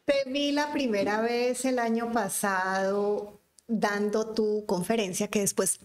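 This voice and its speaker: A woman's voice: medium pitch, steady tone, slow. A woman in her fifties from Cali, Colombia, with a Colombian accent, chatting as a doctor guest on an interview podcast and telling stories with frequent restarts. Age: 50s. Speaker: guest, physician. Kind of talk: casual conversational interview.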